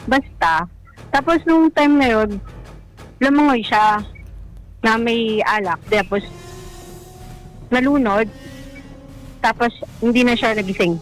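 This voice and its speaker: A woman's voice: on the high side, takes it slowly, pauses often, rough recording. A woman with a Filipino accent recounting a personal story in a radio interview, conversational and a little hesitant, slipping in English words. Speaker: caller telling own love story. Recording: music behind the voice.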